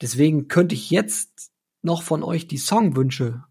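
Higher-pitched husky man, slangy podcast chat. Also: expressive, a little slow, dark tone. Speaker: podcast co-host. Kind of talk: casual chat among friends.

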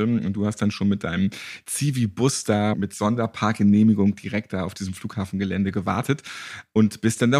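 A man's voice: fairly low pitch, a little mellow. A man hosting a casual interview podcast in a jokey, playful way. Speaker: podcast host.

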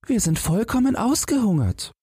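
A man's voice: high voice, very animated, moderate pace, mellow, slightly rough, no echo, studio quality, pitched above the performer's own voice, full character voice. A man voicing a brave, cheeky little girl in a fairy tale, plucky and determined. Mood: bold, pleading.

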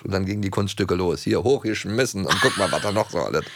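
A man hosting a podcast in casual chat, animated, often stammering and repeating words as he gets going. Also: deep voice, fast talker, creaky, slight background noise. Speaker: podcast host.

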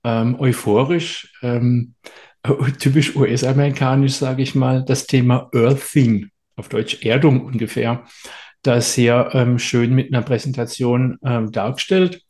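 A middle-aged man, a therapist, telling a story in a relaxed interview, with many filler sounds and pauses. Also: medium pitch. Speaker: therapist and guest.

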